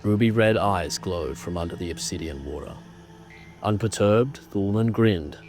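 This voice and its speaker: Low-pitched male horror narrator. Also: moderate pace, over music. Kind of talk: scripted horror story narration.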